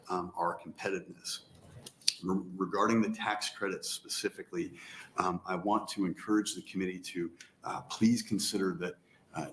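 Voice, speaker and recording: Brief: low-voiced man from Nebraska, business leader testifying earnestly, faint rumble behind. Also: fairly bright tone. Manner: measured, earnest, frequent uh fillers. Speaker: chamber of commerce president testifying. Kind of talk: formal public testimony. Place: legislative hearing room.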